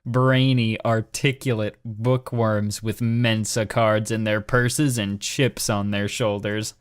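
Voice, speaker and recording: A man's voice: on the low side, level tone, slow pace, clear, resonant tone, dry recording, clean studio sound. A man co-hosting a podcast, doing mocking dramatic readings and casual commentary in a sarcastic, exasperated way. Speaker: podcast co-host.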